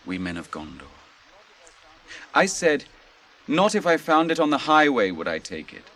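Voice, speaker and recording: An actor in a radio drama playing a noble, grave captain, proud yet merciful, with formal, archaic, theatrical delivery. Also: male voice, mid-range voice, fairly bright tone. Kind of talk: 1980s radio drama acting. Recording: radio drama with clear background noise.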